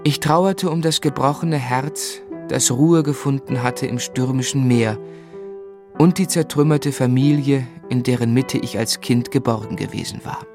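An actor playing a gentleman who recounts his own past with earnest, dramatic gravity in a literary radio drama. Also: medium pitch, a little slow, lightly acted. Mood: sorrowful. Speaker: first-person narrator. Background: music.

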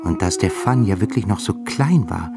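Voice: deep voice, lively intonation, moderate pace, gravelly. A narrator reading literary prose in a radio drama in a solemn storytelling manner. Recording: loud flute music behind the voice.

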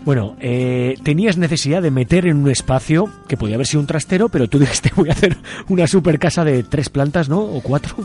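A man's voice: a little high, a little fast, a little mellow, soft background music. A man with a Spanish accent from Aragon hosting a casual radio interview in an informal, colloquial way. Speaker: radio show host.

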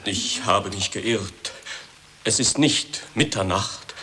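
Slow, deep-voiced muddled academician. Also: male voice, background noise. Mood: ponderous. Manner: ponderous, hesitant. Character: slow, ponderous, muddled male academy guest. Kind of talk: absurdist comic radio play acting.

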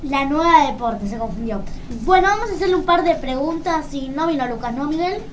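A woman's voice: high voice, expressive, a little fast. An Argentine woman asking questions as an informal radio interviewer. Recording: noisy room, distant microphone.

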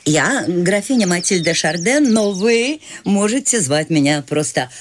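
A woman's voice: on the low side, expressive, measured pace, slight background noise. A woman playing a free-spirited, chatty old countess in a radio play, coaxing and playful. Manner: playful, coaxing, sweeping swings of tone. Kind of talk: theatrical radio drama acting.

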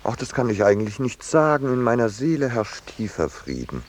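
An actor playing a courteous, wry landscape painter in a 1950s radio play, dry and ironic, with some hesitation. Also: male voice, medium pitch, very animated, a little fast, a little hiss or hum, close to an everyday voice. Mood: politely gallant. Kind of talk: 1950s German radio play, narration and dialogue.

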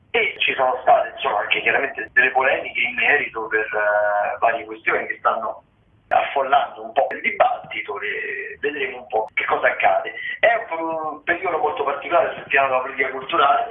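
A man, a theatre critic giving a discursive spoken briefing on radio. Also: medium pitch. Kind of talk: spoken cultural news briefing. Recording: roomy, tinny phone line.

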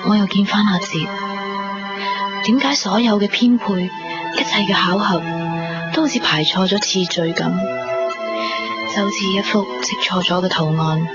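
A woman's voice: medium pitch, a little slow, long pauses, close to an everyday voice. Wistful young Hong Kong woman, acted by a woman. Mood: wistful reflection. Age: young adult. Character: wistful, guarded 22-year-old daughter in love. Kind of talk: radio drama acting and narration. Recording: dull 1990s radio recording under music. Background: guitar music.

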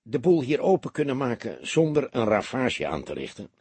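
A man playing a seasoned police detective in an audiobook, serious and careful, speaking in a measured, earnest way. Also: medium pitch, big pitch swings, a little mellow, breathy edge, dry recording. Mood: probing.

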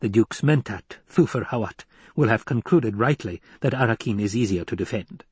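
A man's voice: animated, normal speed, a little mellow, slightly rough, no echo. A man voicing a clever, supercilious male adviser in an audiobook, laying out schemes in precise, formal speech. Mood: coolly analytical. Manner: precise, formal, higher-pitched exposition. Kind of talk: audiobook character acting.

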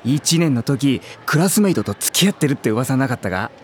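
A performer playing a casual, gossipy high-school classmate who talks in boyish slang in a Japanese audio drama. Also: very animated, fairly quick, a little hiss or hum, lightly acted. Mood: teasing, gossipy.

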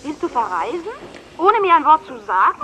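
Sharp, high-voiced scolding fiancée. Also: female voice, very animated, brisk, slight background noise, heavily acted. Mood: reproachful. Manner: sharp, reproachful. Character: impatient, scolding fiancée on the telephone. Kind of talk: German radio play acting.

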